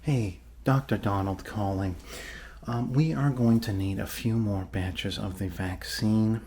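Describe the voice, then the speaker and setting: A man acts out a friendly doctor in an ASMR roleplay with a lowish voice and a slow, easy pace. He reassures in a rambling, hesitant way and slips in joking asides. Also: mellow, slightly rough. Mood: businesslike. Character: friendly rambling male doctor treating a zombie bite. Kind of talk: ASMR roleplay, improvised soft bedside talk.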